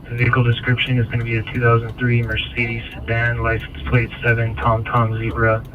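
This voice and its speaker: A man reads police codes and addresses in an even, unvarying tone. The sound is dull and lo-fi, like a scanner, with clear noise behind. He stays steady and businesslike throughout. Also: medium pitch, a little fast. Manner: steady, businesslike code reading. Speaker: police radio dispatcher. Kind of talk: clipped radio dispatch.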